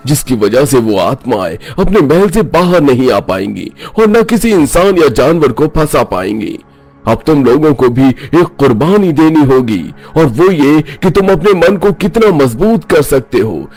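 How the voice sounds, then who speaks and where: Solemn holy man, lively pitch, acted by a man. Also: measured pace. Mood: solemn reassurance. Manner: grave, instructive, emphatic. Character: solemn village holy man who battles spirits. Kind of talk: Hindi animated story dub. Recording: music under the voice.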